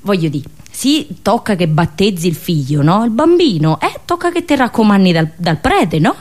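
A woman's voice: medium pitch, very animated, normal speed, darkish tone. A woman voicing a resigned village local in colloquial central Italian dialect, coaxing the listener with tag questions. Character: resigned village local shrugging at petty favouritism.

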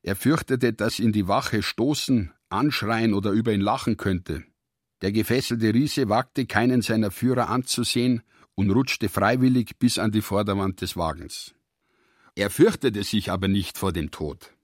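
A man reads literary prose as the narrator of a radio drama. His voice is on the low side and very dry, with deliberate, measured phrasing. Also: no room sound.

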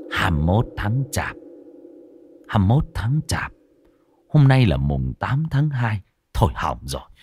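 A man voicing a stern old Buddhist monk who speaks in a solemn, deliberate way in a spoken ghost story. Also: sits low, husky, voice pushed down, heavily acted. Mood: alarmed.

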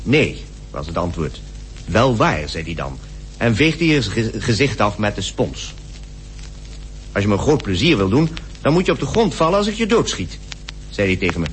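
An actor playing a good-humoured polar explorer, telling his diary as an animated storyteller in a radio drama. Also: on the low side, normal speed, pauses often, slight background noise. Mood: amused. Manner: animated storytelling, mimics dialogue.

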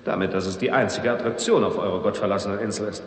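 A man's voice: on the low side, quick pace, husky. An actor playing a wry, sceptical, understated male visitor in a 1960s German radio drama. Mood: wry, defiant. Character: dry, sceptical male visitor from abroad, wryly unflappable. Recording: noisy vintage radio drama recording.

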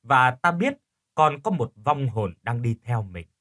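A man voicing a kindly, dignified sixty-year-old village healer in an audio story, with an unhurried, fatherly manner. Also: mid-range voice, sing-song, fairly bright tone. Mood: calm, knowing.

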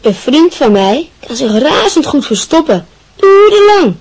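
A woman's voice: sits high, very animated, a little slow, darkish tone, exaggerated voice. A woman playing a shy teenage schoolboy who talks in a hesitant, defensive way, in a radio play.

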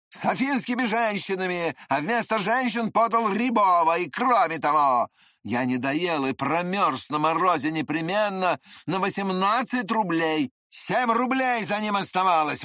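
A man voicing a huge, blunt, belligerent brawler who bellows in drawn-out shouts, in an audiobook. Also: high-pitched, no room sound, studio quality, voice pushed up, full character voice. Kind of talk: dramatized audiobook character voice.

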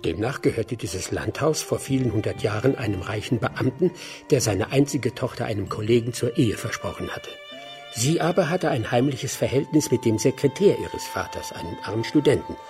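An actor playing a magistrate's curious aide in a radio crime drama, inquisitive and expressive. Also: male voice, medium pitch, moderate pace, music in the background. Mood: curious, storytelling.

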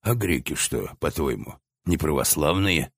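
Narrator's stern hellhound boss: deep, gruff, husky orders. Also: male voice, lively intonation, measured pace, dry recording, studio quality, full character voice. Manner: stern, growling, commanding. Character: stern, gruff central head of a three-headed hellhound.

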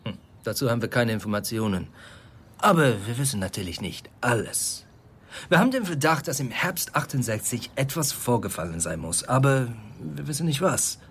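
An actor playing an affable but guarded male embassy official in a naturalistic radio play, speaking politely and in a measured way. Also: mid-range voice, very animated, a bit bright, breathy edge, heavily acted. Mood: matter-of-fact, guarded. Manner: polite, measured, stumbling over a foreign place name.

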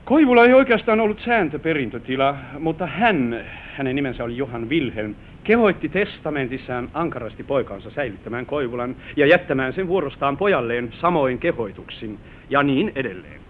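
An actor playing an affectionate, proud young newlywed husband in an old Finnish radio play, with a gallant, expressive stage delivery. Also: male voice, lightly acted. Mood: proud, storytelling. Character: affectionate newlywed husband proudly showing his bride the family manor. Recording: narrow-band, hissy vintage radio recording with rumble.

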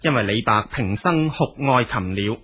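A man's voice: medium pitch, animated, normal speed, pushed and bright, slightly rough. A man with a Cantonese accent narrating as a storyteller, in a colloquial spoken style.

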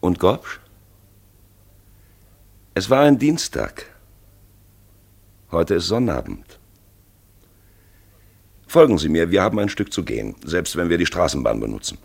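A man playing a brooding, probing narrator in a 1950s radio play, with emphatic phrases and hushed asides. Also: low-pitched, sing-song, measured pace, pauses often, heavily acted. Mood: intense, reflective. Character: brooding male narrator-guide, probing and dramatic. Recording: husky-sounding 1950s radio recording.

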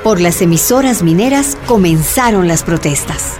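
A woman's voice: on the low side, animated, normal speed, loud music behind the voice, lightly acted. A woman performing as the serious narrator of a historical radio drama, delivering scripted documentary narration. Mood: serious, grave.

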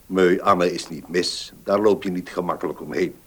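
An actor playing a weary old notary in a Dutch radio drama, courteous, formal, hesitant and deliberate in his speech. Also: deep voice, fairly even intonation, husky. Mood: reminiscing, measured. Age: older.

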